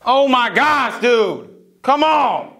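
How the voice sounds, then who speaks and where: Man acting shrill exasperated guy screaming into phone. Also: lively intonation, slow, vocal fry, a bit bright, full character voice. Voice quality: strained, screaming.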